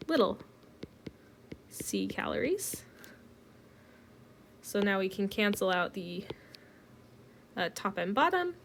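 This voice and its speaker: A woman's voice: mid-range voice, vocal fry, slight background noise. A woman teaching a recorded chemistry lecture while getting over a cold, talking through each step with plenty of ums. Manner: relaxed, explains step by step with many ums. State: recovering from a cold.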